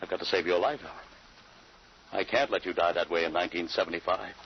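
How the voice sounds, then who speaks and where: A man's voice: low voice, fast talker, gravelly. A man playing an anxious, flustered middle-aged American father in old radio drama style. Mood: resolved, anxious. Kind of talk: 1950s radio drama acting. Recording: muffled vintage radio recording, faint hiss.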